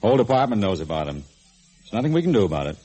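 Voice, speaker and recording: Low-pitched, wry police lieutenant. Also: male voice, very animated, very fast, warm tone, husky, low-quality recording. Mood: dry, joking. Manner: slangy, dryly joking banter. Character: wry, easygoing male homicide police lieutenant. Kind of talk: 1940s radio detective drama acting.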